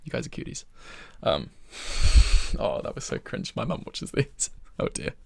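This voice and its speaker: A soft-spoken young man of twenty-one chatting ASMR-style from his bedroom, rambling and poking fun at himself. His voice is heavy with fry and breathy, sitting mid-range for a man. It is recorded close and bone dry. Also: lowish voice, wildly swooping pitch, a little slow, dry recording. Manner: rambling, self-deprecating, with soft laughs. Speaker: ASMR creator.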